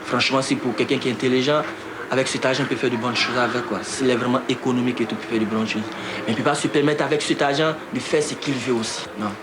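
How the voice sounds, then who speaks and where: A young man from Ivory Coast with an Ivorian accent, a youth footballer speaking in a candid interview, halting and repeating words. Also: medium pitch, fairly even intonation. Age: young adult. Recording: echoey, noisy location sound.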